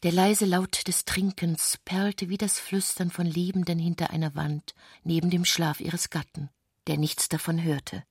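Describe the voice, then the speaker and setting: Eine Frau spricht in einem literarischen Hörspiel eine ruhige, andächtige Erzählerin. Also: female voice, lowish voice, little pitch movement, measured pace, slightly rough, no echo, clean studio sound. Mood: solemn, hushed. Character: quiet, solemn female storyteller of a bedtime scene. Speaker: narrator in a literary radio play. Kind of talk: literary radio drama narration.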